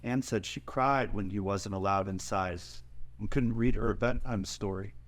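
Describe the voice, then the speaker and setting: An actor playing a chatty, oblivious young dragon brother in a fantasy table read. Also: male voice, lowish voice, measured pace, lightly acted.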